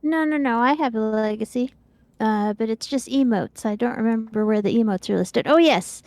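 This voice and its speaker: Woman, fairly high pitch, casual gaming chat, livestream co-host. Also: moderate pace, darkish tone, no room sound. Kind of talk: casual chat while playing a video game.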